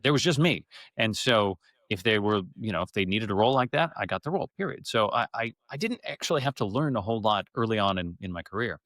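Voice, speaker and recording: A man's voice: lowish voice, fairly bright tone, no room sound. A middle-aged man chatting casually as an interview guest, candid and often interrupting himself mid-thought as he tells stories. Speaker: interview guest, stage and radio theater actor.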